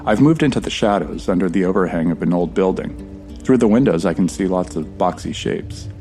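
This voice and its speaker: A man's voice: low voice, animated, a little fast, dark tone, faint music behind. A man with an American accent playing an earnest, stranded time traveler in an audio drama. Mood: uneasy, narrating. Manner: conversational, earnest. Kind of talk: first-person audio drama acting.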